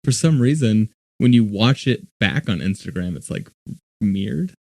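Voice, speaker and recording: A man's voice: on the low side, expressive, moderate pace, vocal fry, dark tone, dry recording, clean studio sound. A young man co-hosting a podcast livestream, chatting casually, laughing often and swearing now and then. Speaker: podcast co-host.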